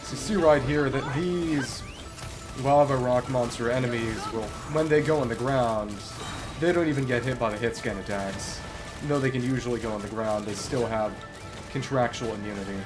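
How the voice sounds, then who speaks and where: A man's voice: mid-range voice, moderate pace. A man giving casual gameplay commentary as a streamer, explaining mechanics in a wordy, understated way over game music. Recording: music behind the voice.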